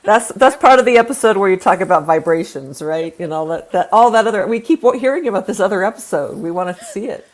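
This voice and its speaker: Woman with mid-pitched voice, chatting informally. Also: lively intonation, quick pace, hardly any pauses. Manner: informal, explanatory. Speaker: guest performer. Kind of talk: casual chat.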